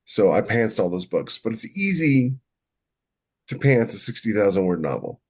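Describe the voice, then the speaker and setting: Low-voiced man chatting on a podcast. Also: big pitch swings, moderate pace, a little mellow, husky. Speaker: podcast co-host, novelist. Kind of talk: casual chat about craft.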